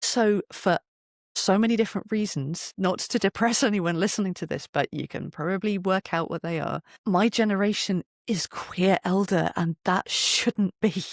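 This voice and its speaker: Middle-aged interviewee, mid-pitched and dryly recorded, emphatic conversational storyteller. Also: normal speed, no room sound, clean studio sound. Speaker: interview guest, voice actor and poet.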